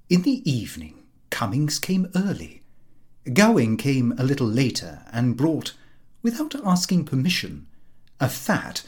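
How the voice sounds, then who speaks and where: A man narrating a comic diary in the character of a prim, put-upon, pompous middle-class gentleman. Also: mid-range voice. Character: fussy, easily affronted middle-class Victorian gentleman diarist. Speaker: audiobook reader.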